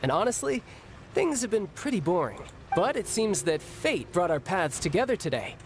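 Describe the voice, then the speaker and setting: A man's voice: sits high, big pitch swings, normal speed. A man playing a cocky young bank enforcer in a video game, breezy, teasing and confident. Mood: cheerful. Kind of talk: video game character acting. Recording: game music underneath.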